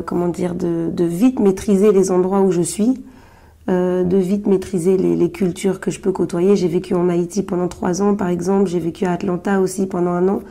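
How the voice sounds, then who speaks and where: Low mellow-voiced woman, halting answers. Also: gravelly. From Belgium, Brussels-born Congolese. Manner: hesitant, many fillers and restarts. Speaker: guest, journalist and writer. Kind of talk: interview answers.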